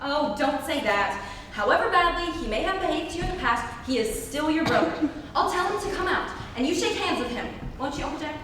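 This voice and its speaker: A woman's voice: high voice, animated, brisk. A young woman playing an eager, sweetly insistent girl in an amateur reading of a stage comedy. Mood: pleading. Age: teens to young adult. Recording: very noisy echoey hall, distant mic.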